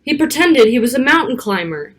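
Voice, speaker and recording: Higher-pitched woman reading aloud to children. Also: fairly quick. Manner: child-directed, expressive, stretching key words and pointing out pictures. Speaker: storyteller reading a picture book aloud to children. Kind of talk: read-aloud storytelling with casual asides.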